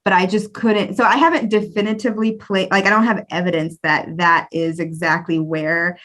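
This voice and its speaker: A woman's voice: lowish voice, steady tone, normal speed, fairly bright tone. A woman speaking casually and conversationally as the host of a museum program. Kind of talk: casual conversational hosting and storytelling.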